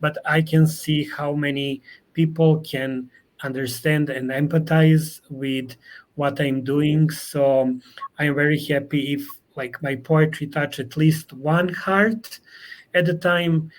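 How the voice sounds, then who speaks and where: A middle-aged man with a Serbian accent, a Serbian speaker answering interview questions in halting English with many fillers and restarts. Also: fairly high pitch, fairly even intonation, poor audio. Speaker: guest.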